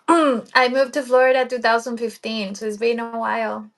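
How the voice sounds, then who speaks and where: An upbeat woman, chatting as a guest on a livestream. Her voice sits somewhat high for a woman, and she laughs easily between her words. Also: flat delivery, normal speed, a bit bright. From Ecuador. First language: Spanish. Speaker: livestream guest, sound healer. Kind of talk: casual livestream chat.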